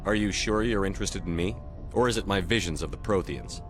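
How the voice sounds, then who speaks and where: An actor playing a steady, reassuring human military commander in a video game, calm, direct and plain-spoken. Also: male voice, deep voice, brisk, music in the background, close to an everyday voice. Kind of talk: video game dialogue acting.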